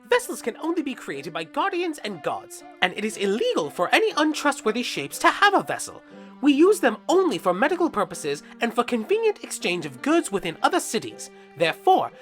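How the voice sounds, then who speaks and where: A woman plays an eager cartoon guardian creature who loves to lecture. The voice is bright and leaps all over in pitch, excitable and keen to explain, over music.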